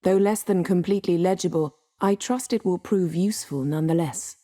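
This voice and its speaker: Haughty sorceress for a fantasy game , played by a woman: lowish female voice, imperious, cutting, wry and formal, guarded yet devoted; very dry studio sound. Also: brisk, dark tone, no echo, natural voice. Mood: composed, earnest. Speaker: video game character. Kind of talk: acted video game dialogue.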